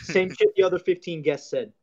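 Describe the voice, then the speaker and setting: A man's voice: sits high, a little fast, highs cut off, a crowd in the background. A man from Aruba as a podcast guest, telling wry stories and cursing casually.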